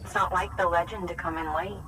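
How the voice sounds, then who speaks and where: Game role: chatty male receiver, slightly high, officious, off-mic radio feel, faint noise. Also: steady tone, brisk. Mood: chiding. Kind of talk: video game cutscene acting. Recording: distant sound with faint noise.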